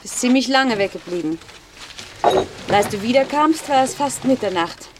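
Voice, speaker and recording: A woman plays a young wife from an old radio crime play who needles her husband with coquettish teasing. Her high voice leaps around freely as she talks, playful and quick to tease. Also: animated, heavy background noise, lightly acted. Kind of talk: vintage radio play acting.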